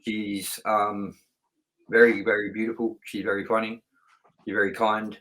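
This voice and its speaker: A man's voice: on the low side, flat delivery, unhurried, a bit bright, poor audio. A middle-aged man hosting a film review show, talking in a casual, unscripted, chatty way that rambles through personal anecdotes.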